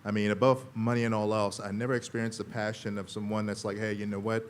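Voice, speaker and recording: Male panel guest, low voice, loose rambling storyteller, faint room hum. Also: little pitch movement, fast. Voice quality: deep voice. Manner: rambling, quotes himself. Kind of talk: casual panel talk.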